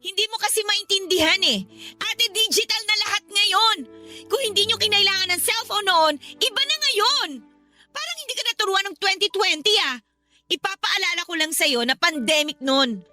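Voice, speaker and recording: A woman's voice: extremely high pitch, normal speed, pushed and bright, faint music behind, exaggerated voice. A woman playing a defiant, aggrieved teenage schoolgirl in a Tagalog radio drama, whiny and argumentative.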